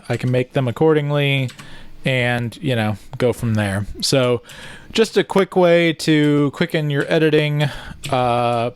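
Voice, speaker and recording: A man's voice: mid-range voice, clear, resonant tone. A man talking casually to viewers in a vlog, giving tips and walking through plans in an informal, rambling way, as if thinking aloud. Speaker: vlogger, video editor. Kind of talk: casual vlog talk and tutorial.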